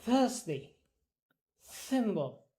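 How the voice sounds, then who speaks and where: A woman's voice: mid-range voice, sing-song, drawn-out words, mellow. A woman carefully repeating words and reading practice sentences as a pronunciation student. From Philippines. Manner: careful, word-by-word repetition.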